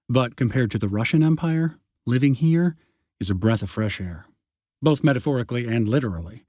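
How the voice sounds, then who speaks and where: A man's voice: medium pitch, a little mellow, no echo, clean studio sound. Wry man narrating an audiobook. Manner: wry, sarcastic, conversational. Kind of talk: first-person audiobook narration.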